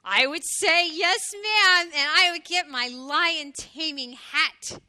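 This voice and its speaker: An adoring little boy hedgehog from a children's storybook, played by a woman, bursting out with emphatic promises. The voice is very high and piercingly bright, jumping up with childlike eagerness to obey. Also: takes it slowly, dry recording, clean studio sound, full character voice. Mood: eager, adoring. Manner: exuberant, emphatic, childlike declarations. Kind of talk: children's storybook read aloud.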